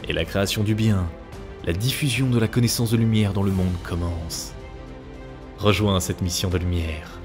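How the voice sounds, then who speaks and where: A male narrator with a deep voice delivers scripted narration. Music plays clearly behind him as he reads. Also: fairly even intonation, normal speed.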